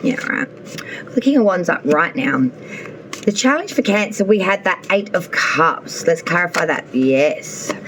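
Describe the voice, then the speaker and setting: A woman's voice: lowish voice, animated, measured pace, a bit bright, slight background noise, low-quality recording. A woman doing a casual tarot reading, chatty and thinking aloud as she draws cards, with an offhand swear now and then. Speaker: tarot reader.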